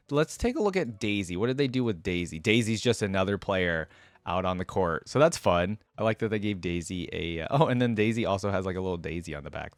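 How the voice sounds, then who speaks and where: Male reaction host, lowish register, informal and conversational, thinking out loud, close dry sound. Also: no room sound. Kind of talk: casual reaction commentary.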